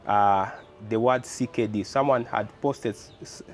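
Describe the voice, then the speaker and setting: A young man with a Ugandan English accent, talking casually in an interview, animated and full of rhetorical questions and fillers. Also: fairly low pitch, unhurried. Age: young adult. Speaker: guest, car builder.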